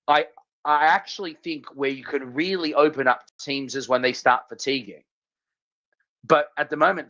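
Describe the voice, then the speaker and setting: A man's voice: mid-range voice, fairly bright tone. A man with an Australian accent co-hosting a casual sports podcast, talking emphatically and often repeating his phrases. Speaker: podcast co-host. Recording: slightly dull lo-fi audio.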